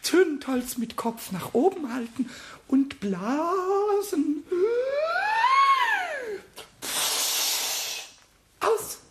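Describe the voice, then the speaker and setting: A man voicing a small, cheeky, childlike kobold in a children's radio play, squeaky and excitable, muttering to himself in rhymes. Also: slow, heavy background noise, exaggerated voice. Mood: gleeful, boastful.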